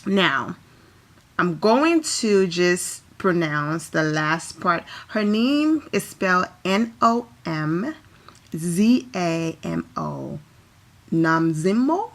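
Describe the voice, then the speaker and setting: A woman from Liberia with a slightly low voice gives chatty, enthusiastic commentary on red-carpet outfits. She talks casually and apologizes along the way, recorded at home. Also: sing-song, extremely slow. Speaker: fashion vlogger.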